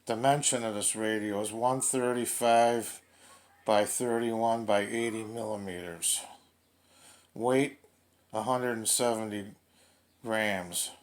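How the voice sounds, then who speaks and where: Casting: male gadget vlogger, lowish pitch, very unhurried, casual and halting unscripted delivery, noisy home-made sound. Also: fairly even intonation. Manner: halting, thinking aloud as he goes. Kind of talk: casual unscripted unboxing commentary.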